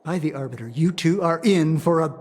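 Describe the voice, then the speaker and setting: An actor playing a fussy, devoted subordinate officer in a video game dub, speaking in a theatrical, officious way. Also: male voice, on the high side, a little fast, a little mellow, close to an everyday voice. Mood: indignant.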